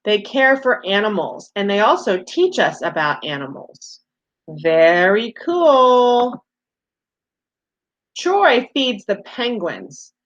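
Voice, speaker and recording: A woman's voice: mid-range voice, very animated, slow, lo-fi sound. A woman teacher giving a read-aloud lesson to young children, speaking simply and encouragingly, with questions to the listeners.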